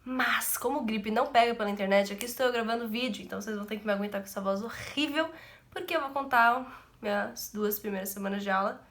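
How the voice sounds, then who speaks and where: A woman's voice: on the high side, fast, fairly bright tone. Stuffy-nosed teenage girl vlogging. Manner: chatty, self-deprecating, rambling. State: nasal from a cold. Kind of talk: casual chat to camera. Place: bedroom.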